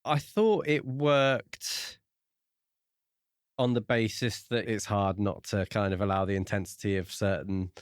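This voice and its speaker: A man's voice: lowish voice, steady tone, a little slow, clear, resonant tone, no echo, clean studio sound. A man co-hosting a podcast and talking casually about a book. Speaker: podcast co-host.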